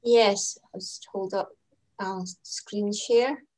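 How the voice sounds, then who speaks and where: A woman curator introduces the next part of an online launch event. Her voice sits at a middle pitch. She is hesitant, often restarting her sentences as she reads through her notes. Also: fairly even intonation, slow, darkish tone, low-quality recording. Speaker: exhibition curator.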